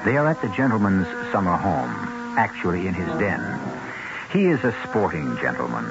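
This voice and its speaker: A man with an American accent hosting a 1970s radio mystery, narrating in a theatrical, deliberate, ominous manner. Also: fairly low pitch, muffled recording. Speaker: host of radio mystery series.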